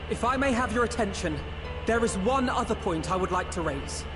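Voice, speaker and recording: High-voiced, earnest young scholar. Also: male voice, lively intonation, brisk, over music. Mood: earnest, formal. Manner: formal, articulate. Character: earnest, eloquent young male scholar addressing allies. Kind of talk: dramatic fantasy game dialogue.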